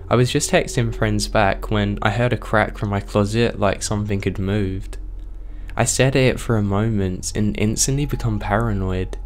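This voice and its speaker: Horror narrator, male, low and hoarse, strained voice, steady scripted read, faint background hiss. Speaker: horror story narrator, channel host.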